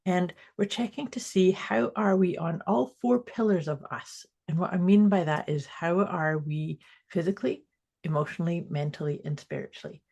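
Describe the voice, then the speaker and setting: Female podcast co-host, mellow tone, warm encouraging chat. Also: on the low side, normal speed, creaky. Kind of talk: casual chat.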